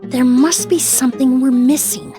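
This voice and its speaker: A woman voicing a composed, thoughtful young perfumer in a video game, calm and reflective. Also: high voice, normal speed, music in the background, close to an everyday voice. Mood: puzzled.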